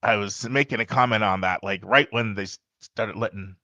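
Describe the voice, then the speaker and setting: A man hosting a podcast, speaking loudly and emphatically in a casual monologue with frequent asides to the listener. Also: fairly low pitch, moderate pace, no room sound. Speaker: podcast host and college admissions advisor.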